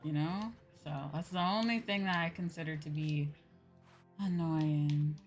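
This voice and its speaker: A woman with a fairly low voice streams a game and chats with her viewers. Her talk is informal, slangy and loosely rambling. Light game music plays behind her. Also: fairly even intonation, unhurried. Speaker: livestreamer, VTuber host. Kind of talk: casual chat while playing a game, answering viewers.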